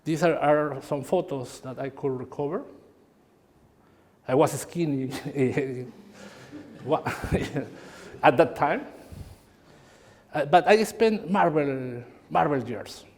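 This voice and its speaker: A middle-aged Peruvian man with a Spanish accent gives a university lecture, talking in halting phrases that repeat words, with friendly asides. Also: fairly high pitch, a little mellow. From Peru. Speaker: guest lecturer. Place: lecture hall. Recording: hall reverb.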